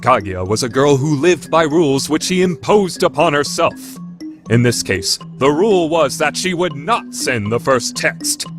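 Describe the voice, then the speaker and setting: A man's voice: a little high, expressive, measured pace, over music. A man playing a dramatic, declarative narrator of scripted anime battles of wits in a fandub radio play. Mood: dramatic explaining.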